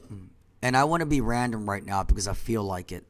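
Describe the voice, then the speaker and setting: A man's voice: lowish voice, expressive, brisk. Rambling congested man. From Washington, D.C. area. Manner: rambling, trailing off into quiet asides. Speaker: company founder. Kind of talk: rambling monologue.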